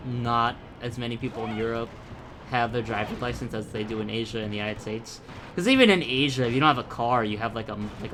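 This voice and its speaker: A man's voice: medium pitch, a bit bright, a little hiss or hum. A young man from the Philippines giving casual, rambling, profane gameplay commentary full of tangents. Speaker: gaming YouTuber.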